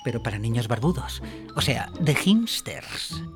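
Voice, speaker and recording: An actor playing a vain, grumpy, bluffing music producer in a comedic radio drama, with a boastful and hesitant way of talking. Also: male voice, medium pitch, very animated, unhurried. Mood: pompous. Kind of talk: comedic radio fiction dialogue.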